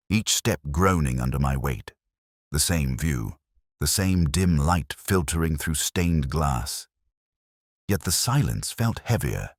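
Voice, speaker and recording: Very deep-voiced man narrating a detective story. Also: darkish tone, dry recording, clean studio sound. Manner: measured, brooding, reflective. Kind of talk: scripted first-person detective narration.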